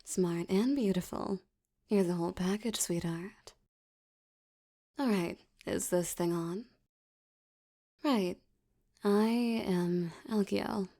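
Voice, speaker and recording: A woman playing a seductive, menacing mafia boss in an ASMR roleplay, teasing and often slipping into a breathy whisper. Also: mid-range voice, dark tone. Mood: flirtatious, teasing. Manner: teasing, slow, velvety, threatening. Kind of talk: ASMR roleplay audio drama.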